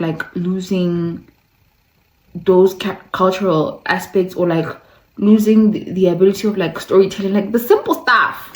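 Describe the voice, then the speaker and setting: Lower-pitched woman chatting as video host. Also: darkish tone, a little hiss or hum, poor audio. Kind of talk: casual video essay with passages read aloud.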